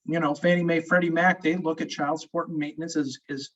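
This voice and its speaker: A man's voice: a little high, little pitch movement, fast, far from the mic. A man hosting as a family law attorney, talking in a rambling, stop-start, friendly way in a casual interview. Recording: muffled audio from off-mic.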